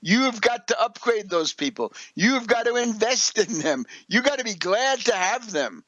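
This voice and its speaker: A man's voice: sits high, expressive, moderate pace, sharp tone, no room sound, muffled recording. A man delivering political and economic commentary as a radio host, lecturing and putting rhetorical questions to the listener. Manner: lecturing, didactic, with rhetorical questions and check-ins.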